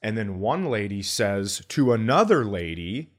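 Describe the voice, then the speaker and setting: A man hosting a comedy podcast, telling stories in an animated, grumbling, sweary way and acting out quotes. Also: lowish voice, a little slow. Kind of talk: comic storytelling in casual podcast chat.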